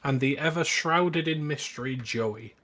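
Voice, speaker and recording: A man's voice: medium pitch, a little slow, sharp tone. A British man hosting a podcast, chatting casually about films with friends. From Britain. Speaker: podcast host. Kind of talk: casual film discussion chat.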